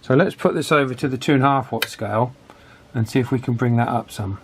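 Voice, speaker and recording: A man's voice: medium pitch, slight background noise. Man talking through a repair. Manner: thinking aloud, hesitant pauses mid-phrase. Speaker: electronics repair vlogger. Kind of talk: hands-on radio repair demonstration, casual running commentary.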